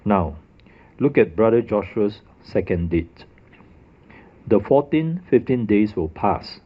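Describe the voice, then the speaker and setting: A man's voice: fairly low pitch, animated, slow pace, slightly rough, phone-quality sound. A man narrating a methodical, scripted explanation of dates and a calendar puzzle. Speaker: religious video presenter.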